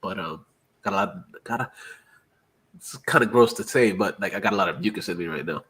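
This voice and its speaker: A man's voice: deep voice, brisk, a bit bright. A congested man with a lingering cough, co-hosting a podcast in loose, profane, enthusiastic chat. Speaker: podcast co-host.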